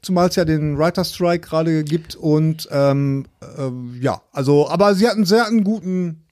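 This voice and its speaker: A man in a casual, halting film chat, feeling his way through explanations as a co-host in a living room. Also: on the high side, a little mellow, no room sound, clean studio sound. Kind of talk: casual chat about films and series.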